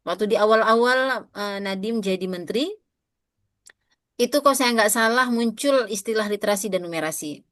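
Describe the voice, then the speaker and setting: A woman's voice: a little high, normal speed, long pauses, a bit bright, no echo, studio quality. A woman in her thirties with an Indonesian accent, giving an interactive lecture to teachers, often addressing them directly and asking questions, with frequent fillers.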